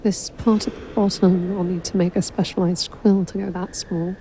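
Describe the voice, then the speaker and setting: A woman acting in an audio drama as an exacting, frank royal calligrapher with a measured, dry manner. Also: fairly low pitch, little pitch movement, warm tone, slight background noise, close to an everyday voice. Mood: resigned. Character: exacting, wry female royal calligrapher, deferential but frank.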